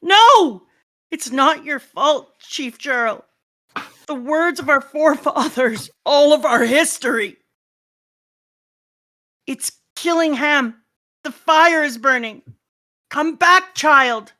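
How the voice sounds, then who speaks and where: A woman's voice: sits high, lively intonation, measured pace, bright, forceful tone, voice pushed up, exaggerated voice. A woman voicing an anguished parent lamenting and comforting a child in a comic read-aloud.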